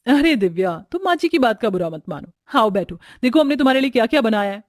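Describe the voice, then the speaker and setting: A woman acts a generous young sister-in-law in a cartoon household tale. She is affectionate and teasing, with a highish voice and a dry, close sound. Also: animated, quick pace, darkish tone, no echo, close to an everyday voice. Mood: caring.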